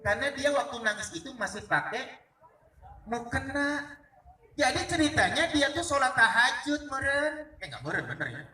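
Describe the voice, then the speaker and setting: A man telling anecdotes in an expressive, theatrical way to listeners. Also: very high voice, normal speed. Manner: expressive, acts out dialogue. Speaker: storyteller speaking to an audience. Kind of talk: animated anecdotal storytelling. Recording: roomy, distant mic with faint noise.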